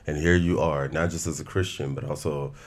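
A man's voice: a bass voice, fairly even intonation, brisk. A man hosting an interview, asking probing questions with brief hesitations. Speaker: interview host. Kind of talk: conversational interviewing.

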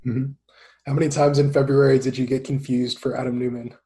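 Man, podcast host, mid pitch, mellow voice, easygoing. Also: little pitch movement, brisk. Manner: easygoing, brief affirmations. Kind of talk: casual interview questions.

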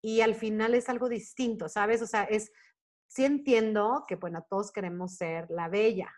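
A Mexican woman in her forties speaking casually as an interview guest, telling stories in an animated way and acting out bits of dialogue. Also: medium pitch, normal speed. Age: 40s. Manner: animated storytelling, often acting out quoted dialogue. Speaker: guest performer, singer and actress.

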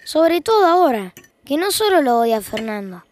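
A woman's voice: high-pitched, big pitch swings, moderate pace, a little mellow. A woman with an Argentine accent reading scripted, wry narration for an audio fiction.

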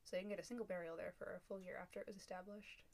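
Young woman cohost telling history off her notes, kinda low voice, restarts here n there. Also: flat delivery, racing, vocal fry, a little mellow. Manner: reads from notes with small restarts and asides. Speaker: podcast co-host. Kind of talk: casual chat, then telling a researched history.

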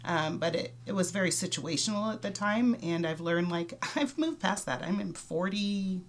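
A woman's voice: on the low side, animated, moderate pace. A woman in her forties, a podcast guest speaking earnestly and openly, laughing easily. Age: 40s. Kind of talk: casual chat.

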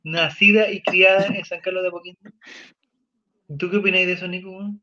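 A Chilean man with a notably high voice talks in a loose, joking, slang-filled way as he hosts a casual chat. The recording sounds dull and lo-fi. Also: flat delivery, brisk, pushed and bright. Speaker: podcast host. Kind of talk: casual chat reading viewer comments.

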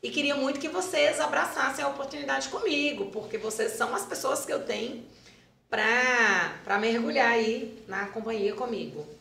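A woman's voice: on the high side, normal speed, gravelly, pushed and bright, reverberant, slight background noise. A woman with a Brazilian Portuguese accent, a dance school founder and teacher, telling stories in a casual podcast interview and repeating words for emphasis.

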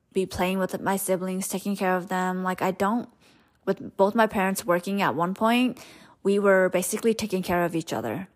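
Woman with Hmong as her first language, rambling. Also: fairly low pitch. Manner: candid and rambling, many fillers like "um" and "like". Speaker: podcast host. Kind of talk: personal storytelling monologue.

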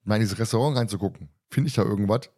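A man's voice: lowish voice, very fast, darkish tone. A man co-hosting a casual discussion, talking in a colloquial, teasing way. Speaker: podcast co-host. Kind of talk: casual discussion of an audio drama.

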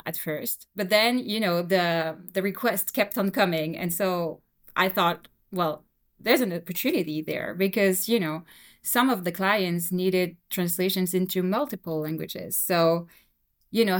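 Young woman, french first language, laid-back chatty guest, lowish voice, lots of ums. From Belgium. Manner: relaxed, frequent fillers and "you know". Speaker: guest translator. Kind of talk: casual interview talk.

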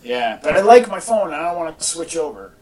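A man's voice: fairly high pitch, sing-song, brisk, a crowd in the background. A man hosting a podcast in casual, rambling chat with a wry, joking manner. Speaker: podcast host. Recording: distant mic, echoey in parts.

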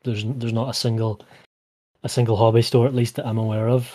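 A man chats in a mellow, warm tone. He hesitates often and doubles back on his words. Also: mid-range voice, little pitch movement, fairly quick. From Northern Ireland. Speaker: podcast co-host. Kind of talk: casual chat.

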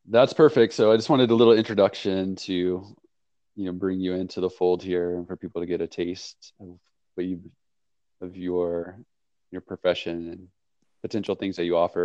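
A man's voice: low-pitched, fairly even intonation, moderate pace, a little mellow, no room sound, lo-fi sound. A man hosting a podcast in a casual, meandering way, laughing often as he talks. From not Portugal, lives in Portugal. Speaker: podcast host. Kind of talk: casual conversational interview.

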